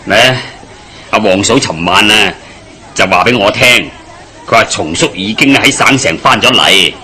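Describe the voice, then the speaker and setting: A sharp-voiced, grumbling village husband. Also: male voice, lowish voice, measured pace. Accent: Hong Kong Cantonese. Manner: sighing, complaining. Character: grumbling, henpecked, sleepless working village husband. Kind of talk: radio drama acting.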